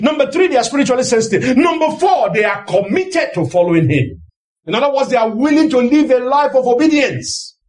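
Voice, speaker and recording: A man preaching a sermon in an emphatic, exhorting way, often raising his voice and repeating phrases for rhetorical effect. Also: sits high.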